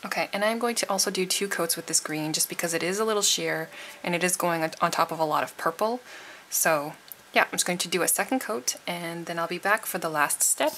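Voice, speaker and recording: A woman's voice: fairly low pitch, fairly quick, a bit bright, slight background noise. A woman giving a casual, chatty tutorial voice-over, sounding a little tired and froggy. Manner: informal, chatty. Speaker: nail art tutorial host.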